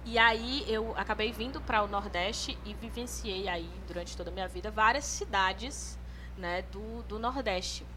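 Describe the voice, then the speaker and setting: A young woman from northern Brazil, co-hosting a casual group chat and explaining points in a relaxed, chatty way. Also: medium pitch, measured pace, background noise.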